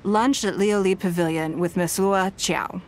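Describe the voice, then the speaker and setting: A woman voicing a poised, shrewd businesswoman in a game, speaking in a composed, measured, elegant way. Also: medium pitch, fairly even intonation, lightly acted. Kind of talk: game dialogue.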